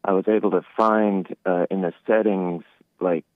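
A male caller explains things casually in a low-pitched voice. The sound is a tinny, band-limited phone line with little room around it. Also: steady tone, normal speed, dry recording.

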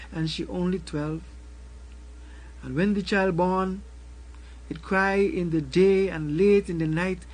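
An older man with a Caribbean accent recites a spoken word poem in a slow, rhythmic way, returning again and again to a refrain, at a desk mic in a small room. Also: high voice, poor audio. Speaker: poet. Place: small room with a colorful backdrop.

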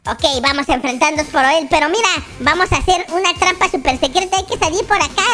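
A performer voicing an excitable cartoon baby adventurer with a squeaky childlike voice, playing through a Minecraft roleplay for children. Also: quick pace, faint music behind. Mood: scheming. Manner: excitable, repeats phrases. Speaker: host of a children's gaming channel.

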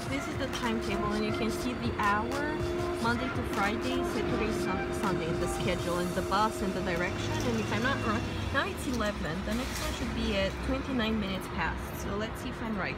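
A woman's voice: fairly high pitch, a little fast. A young woman from Romania, chatting casually as she films herself on city streets, with plenty of fillers as she thinks aloud. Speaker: travel vlogger. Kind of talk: casual travel vlog. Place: outdoors on city streets and at a train station. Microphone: phone camera at arm's length. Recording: music track playing loudly over it.

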